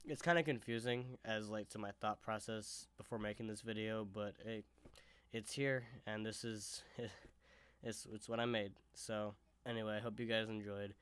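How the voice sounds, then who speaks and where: Low-voiced young man, sick and congested. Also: a bit bright, clear, resonant tone, dry recording. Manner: casual, conversational. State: sick, with sore throat and blocked sinuses. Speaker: YouTuber. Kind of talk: storytelling vlog.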